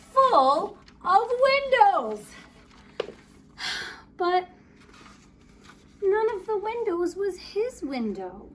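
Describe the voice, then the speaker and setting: A woman reading a picture book aloud to children in an expressive, playful storytelling way, with chatty asides. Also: very high voice, slight background noise. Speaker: story time reader.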